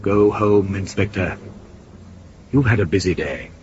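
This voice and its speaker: An actor playing an evasive, quietly menacing senior male official, measured and condescending, in a 1970s British radio drama. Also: low voice, over music. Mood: veiled threat. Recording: dull, lightly noisy 1970s radio recording.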